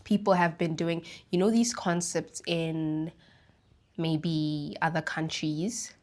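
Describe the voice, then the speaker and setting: A young woman from Botswana hosting a casual solo podcast, her throat slightly raspy from the flu, rambling in a relaxed way about life and spirituality. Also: low voice, slow pace. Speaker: podcast host.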